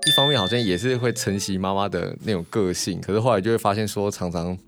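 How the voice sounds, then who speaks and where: A man's voice: lowish voice, fast, a bit bright, faint music behind. A man hosting a radio talk show in a casual, conversational style. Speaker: radio host.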